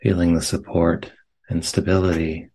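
A man leading a guided meditation as a teacher, speaking gently and unhurriedly in short phrases with long pauses. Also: low-pitched, level tone, breathy edge. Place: meditation center.

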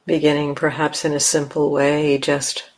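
A woman leading a guided meditation as a teacher, speaking gently in simple, unhurried phrases with long pauses. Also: sits low, little pitch movement.

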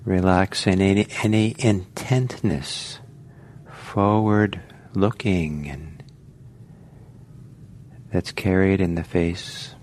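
Very slow, low-voiced man guiding meditation. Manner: gentle, unhurried, long pauses between short phrases. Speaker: meditation teacher. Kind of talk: guided meditation, slow spoken instructions. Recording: faint background noise.

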